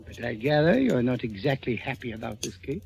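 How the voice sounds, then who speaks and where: An actor playing a wry, thoughtful gentleman recounting a grim tale, measured and dry, in an old-time radio drama. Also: medium pitch, very animated, vocal fry. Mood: measured, grave. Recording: muffled old radio recording with music.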